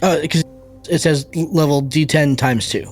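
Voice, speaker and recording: A man's voice: on the high side, unhurried, darkish tone, soft background music. A man talking casually as a player in a tabletop game, working through his moves and rules.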